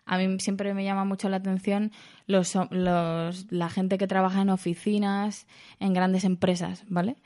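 A woman from Spain in a relaxed panel discussion, thinking aloud with pauses and restarts. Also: mid-range voice. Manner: thoughtful, many fillers and restarts. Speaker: podcast panelist. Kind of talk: casual reflective discussion.

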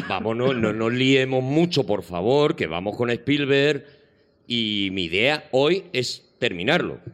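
A man's voice: mid-range voice, fairly bright tone. A man hosting a casual comedy panel chat on stage, with a playful, bantering manner. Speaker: show host. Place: live stage event space.

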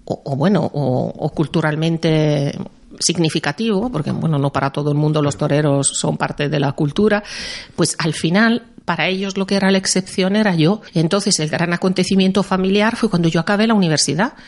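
A woman's voice: low voice, fairly quick. A woman from Italy with an Italian accent, speaking as an interview guest in a chatty way, often restarting and repeating words. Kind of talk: casual interview talk.

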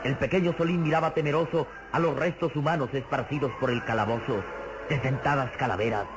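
A grave male narrator , played by an actor tells an old radio adventure serial in florid, suspenseful style. His mid-pitched voice rides over prominent dramatic music. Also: steady tone, fairly quick, close to an everyday voice, rough recording.

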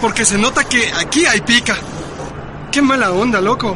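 An actor with an Ecuadorian accent playing a laid-back, frank young rocker who talks in slang, in a radio soap opera. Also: male voice, very high-pitched, moderate pace. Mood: unbothered. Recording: loud urban street and car noise.

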